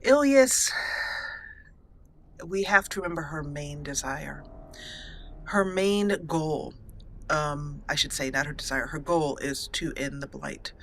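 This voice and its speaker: A woman hosting a let's play, narrating in a theatrical, deliberate roleplay style with dramatic pauses. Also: sits low, lively intonation, fairly bright tone, slight background noise. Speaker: gaming channel host.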